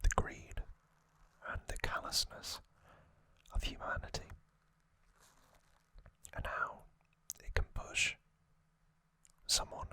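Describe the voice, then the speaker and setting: A man hosting ASMR, whispering a trivia recap in a breathy, unvoiced voice and short, halting phrases. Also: sharp tone. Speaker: ASMR host.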